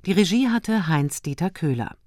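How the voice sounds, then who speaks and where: A woman radio announcer reading scripted credits in a formal, measured manner. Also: fairly low pitch, lively intonation, a little mellow, no echo, clean studio sound.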